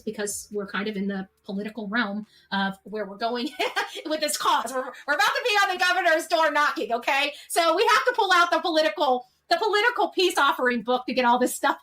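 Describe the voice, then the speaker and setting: A woman with a fairly high voice speaks off the cuff as a livestream host. She is earnest and exhortatory, urging her followers on like an organizer and often tagging her points with a quick check for agreement. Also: lively intonation, fairly bright tone, slight background noise. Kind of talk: unscripted livestream address to followers.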